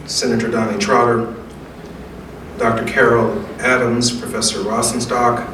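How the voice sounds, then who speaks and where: Echoey, distant American man giving a speech. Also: mid-range voice. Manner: measured, emphatic, rhetorical repetition. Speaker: guest speaker delivering remarks. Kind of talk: formal oratorical speech, preacher-like. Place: large echoey indoor event room. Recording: very echoey room, distant mic, faint noise.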